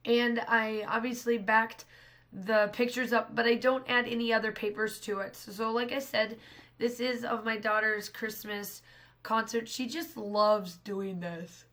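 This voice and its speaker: Hoarse woman, thirties, rambling crafting voice-over, slightly high pitch. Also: steady tone, unhurried, a bit bright. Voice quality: rambling, restarts often. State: hoarse, scratchy voice. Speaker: scrapbooking channel host. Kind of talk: casual voice-over narration of a craft process.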